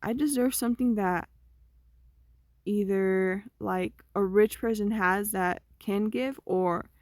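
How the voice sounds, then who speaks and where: A young woman vlogging, talking through her thoughts in a slow, meandering, conversational way that often trails off. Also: mid-range voice, no echo, clean studio sound. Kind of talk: rambling personal monologue.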